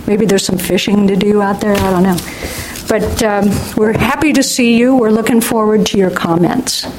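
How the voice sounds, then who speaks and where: A woman chairs a public hearing in a friendly, orderly way, with the odd filler. Noise can be heard clearly behind her voice, along with some clicks. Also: medium pitch, steady tone, normal speed. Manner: friendly, procedural, a few fillers. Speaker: commission chair. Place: public meeting room.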